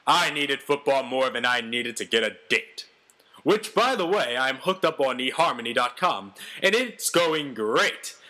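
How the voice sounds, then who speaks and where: A man's voice: medium pitch, animated, a little slow, pushed and bright. A man voicing a brash, self-important ex-convict quarterback telling his own story with melodramatic, boastful delivery. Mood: indignant, confiding.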